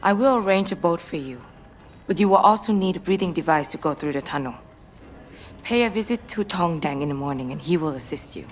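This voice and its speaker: A composed Thai village woman for a cinematic game. The voice is quite low and formal, stern and protective, with slight background noise. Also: expressive, a little fast. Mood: serious, formal. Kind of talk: cinematic game cutscene acting.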